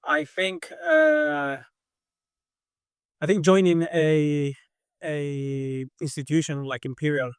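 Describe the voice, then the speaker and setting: A man from southern Spain with a Spanish accent, answering interview questions in a measured, thoughtful way with many hesitations. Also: fairly high pitch, vocal fry, clean tone, no room sound, clean studio sound. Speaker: aerodynamics engineer, guest.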